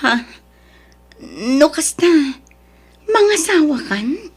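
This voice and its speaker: A woman playing a repentant mother in an Ilocano audio drama, speaking haltingly with an Ilocano accent. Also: high-pitched, very animated, full character voice. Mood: awkward, hesitant. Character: soft-spoken repentant mother, daughter-in-law seeking forgiveness. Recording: music under the voice.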